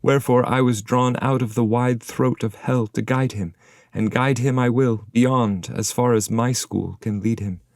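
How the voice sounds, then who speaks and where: Man acting wise dead poet and guide, dignified, formal reasoning, lifted pitch, dry audiobook sound. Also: steady tone, measured pace, no echo, natural voice. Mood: dignified explaining. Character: wise, dignified male poet's shade, guide and teacher.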